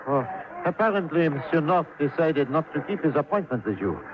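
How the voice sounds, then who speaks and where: An actor playing a courteous French police inspector with a French accent, formal and precise, in old-time radio drama style. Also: male voice, on the high side, very animated, a little fast, noisy background. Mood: grave, matter-of-fact. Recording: muffled old radio recording.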